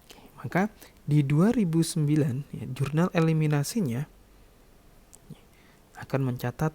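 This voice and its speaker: A man's voice: on the high side, measured pace, dark tone. A man teaching like a lecturer, explaining step by step and often adding short check-in words as he goes. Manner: instructional, repeatedly checking with short tag words. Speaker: accounting lecturer.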